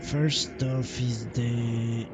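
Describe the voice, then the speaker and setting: Slow-talking man streaming a game. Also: medium pitch, steady tone, poor audio. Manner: muttering to himself, profane. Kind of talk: casual live game commentary. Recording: loud game music behind voice. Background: game music and sound.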